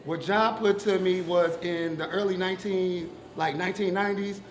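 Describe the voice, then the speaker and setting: A man with a loud voice, speaking without a mic, asking questions in a group discussion. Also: high-pitched, fairly even intonation, moderate pace, roomy sound. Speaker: audience member asking a question. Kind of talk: open discussion at a community event.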